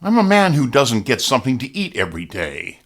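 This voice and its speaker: A man's voice: mid-range voice, wildly swooping pitch, a little fast. An actor playing a poor, hungry, simple prisoner pleading his innocence in an audio drama, stammering and flustered. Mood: flustered, protesting.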